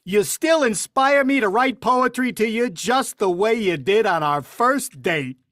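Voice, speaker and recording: A woman's voice: fairly high pitch, animated, measured pace, a bit bright, no room sound, clean studio sound. A woman playing a bumbling middle-aged father in an amateur vintage radio play, blustery and sing-song.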